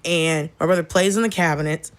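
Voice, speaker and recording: A woman in her thirties with an American accent and a voice a touch lower than most. She tells personal stories as a podcast guest in a loose, candid way, swearing freely and playing out both sides of conversations. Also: fairly even intonation, fairly quick. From Atlanta, southern United States. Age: 30s. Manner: candid, blunt, swears freely, acts out quoted dialogue. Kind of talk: casual chat, personal storytelling.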